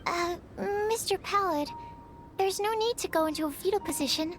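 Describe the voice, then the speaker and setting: Timid, very high-voiced young assistant. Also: female voice, normal speed. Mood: timid, obedient. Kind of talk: video game dialogue. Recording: loud game music behind voice.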